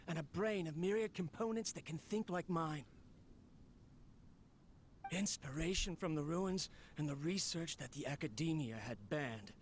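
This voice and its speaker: A man's voice: a little high, slight background noise, close to an everyday voice. An actor playing a lonely, idealistic young inventor who talks earnestly and wistfully to the robot he built. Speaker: exiled researcher in a game.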